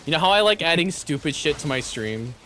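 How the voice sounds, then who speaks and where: A young man streaming live, chatting casually; he is excitable, laughs often and is losing his voice. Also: fairly high pitch, fairly quick, slight background noise, poor audio. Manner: excitable, laughs often, repeats words in bursts.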